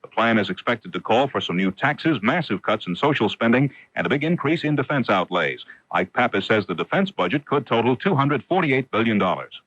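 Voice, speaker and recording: A man's voice: mid-range voice, big pitch swings, a little fast, a bit bright, no room sound, muffled recording. An American man reading a scripted newscast as a radio news anchor on an early 1980s broadcast.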